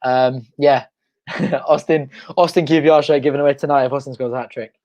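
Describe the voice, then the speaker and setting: A young man hosting a live football watch-along from home, excitable and shouting, his voice going hoarse. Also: mid-range voice, fairly quick, highs cut off. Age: young adult. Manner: excitable, shouting and chanting at goals. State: losing his voice. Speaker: fan channel host. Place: home front room.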